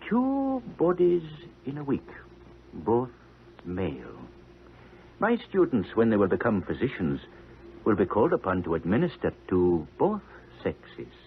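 An actor playing a fussy, cultured, pompous surgeon with a halting, precise delivery in a 1950s radio play. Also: male voice, on the low side, huge pitch swings, gravelly, full character voice. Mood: fussy, insinuating. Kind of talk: 1950s radio drama acting. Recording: tinny, noisy old 1950s radio broadcast.

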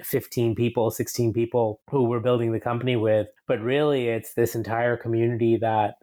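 A man's voice: medium pitch, level tone, normal speed, warm tone. American man, interview guest over a video call; earnest and casual, restarts sentences. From United States. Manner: earnest, repeats words when starting sentences. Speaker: podcast guest, company founder.

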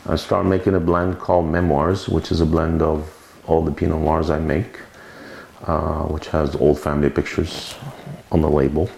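A deep-voiced man recalls his life in a loose, meandering way, often correcting himself. His voice is gravelly and rough. The room is echoey, with a light hiss behind him. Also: little pitch movement, moderate pace, darkish tone. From Lebanon. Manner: rambling, self-correcting storyteller. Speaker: winemaker interviewee. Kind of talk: oral history interview. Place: winery. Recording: roomy recording with faint noise.

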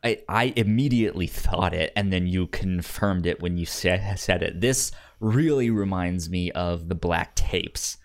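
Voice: deep voice, a little slow, few pauses. A podcast co-host of unclear sex chatting casually about films, often stammering and repeating words and syllables. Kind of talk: casual film discussion podcast.

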